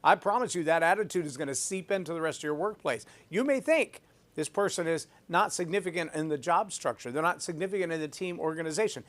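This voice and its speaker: A man's voice: fairly high pitch, expressive, quick pace. A man hosting a leadership podcast, talking emphatically and repeating phrases for effect as he tells a personal story. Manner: self-described loud voice. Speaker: podcast host.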